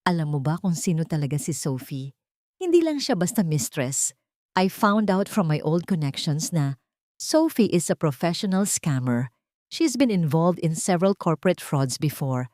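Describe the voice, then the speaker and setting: A woman's voice: deep voice, darkish tone, no room sound, studio quality. A woman voicing a determined, protective female friend with a firm, urgent manner in an audio drama.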